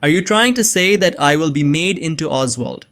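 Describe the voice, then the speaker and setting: A man's voice: fairly high pitch, animated, moderate pace, a bit bright. A young man playing a bewildered innocent framed as an assassin, narrating his own story in a scripted drama. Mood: defiant. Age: young adult. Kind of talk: first-person scripted narration with dialogue.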